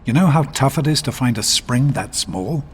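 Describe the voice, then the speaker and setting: An actor playing a gentle, steady middle-aged father in a video game, speaking in a calm, measured, protective way. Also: medium pitch, in a large hall, slight background noise, close to an everyday voice. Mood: warm, encouraging.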